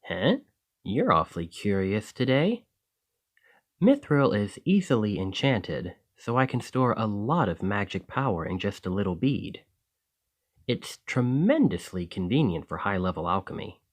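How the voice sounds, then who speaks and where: Chatty village alchemist played by a man for fantasy ASMR roleplay: lowish voice, very lively intonation, eager and a touch flustered. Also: unhurried. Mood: friendly, eager. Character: friendly, excitable male village alchemist in a fantasy shop. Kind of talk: ASMR roleplay addressed to the listener.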